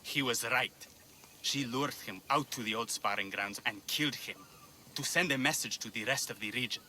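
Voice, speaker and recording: An actor playing a bitter, grieving brother bent on vengeance in a video game, solemn and intense. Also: male voice, mid-range voice, moderate pace, pushed and bright, slight background noise. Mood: mournful.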